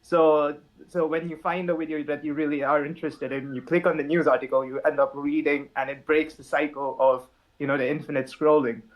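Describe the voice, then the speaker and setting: A young man from India chats casually as a podcast guest. His voice sits a little on the high side. He talks in a thoughtful, easygoing way and often restarts his sentences. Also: brisk, few pauses, highs cut off. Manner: relaxed, thoughtful, with frequent fillers and restarts. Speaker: podcast guest, app founder.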